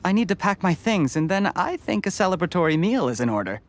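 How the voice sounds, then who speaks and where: A man's voice: sits high, very animated, a little hiss or hum. A man playing a polite, earnest young candidate in a video game, speaking in a courteous, diplomatic way. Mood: resolute, pleased. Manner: courteous, measured. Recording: prominent background music.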